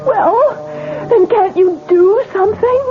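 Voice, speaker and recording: An actress playing a distraught young newlywed wife in a 1970s radio drama, stammering and defensive. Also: female voice, extremely high pitch, slow pace. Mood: eager. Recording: tinny old radio broadcast with music.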